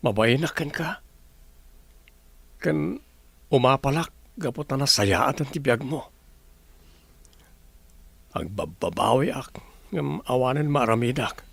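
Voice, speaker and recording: A man playing a humbled, remorseful man begging forgiveness in a Filipino radio drama, with a raspy voice, Ilocano accent and hesitant pleading. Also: medium pitch, animated, takes it slowly, long pauses, no echo, heavily acted. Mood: ashamed, pleading. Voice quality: raspy, gravelly.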